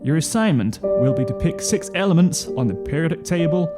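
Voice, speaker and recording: A man's voice: a little high, animated, brisk, pitched above the performer's own voice, lightly acted. A man voicing a businesslike school chemistry teacher in a story, giving the class matter-of-fact instructions. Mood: businesslike announcing. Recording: piano music under the voice.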